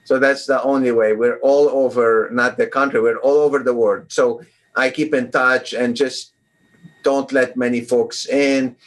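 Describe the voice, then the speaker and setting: A man's voice: mid-range voice, level tone, unhurried, poor audio. A middle-aged man from Lebanon, a guest oncologist talking casually in an interview over a video call, rambling with many fillers and restarts.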